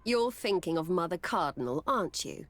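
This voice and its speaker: A woman's voice: fairly low pitch, lively intonation, moderate pace, fairly bright tone, no echo. A woman playing a wary, world-wise courtesan in a video game. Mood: guarded.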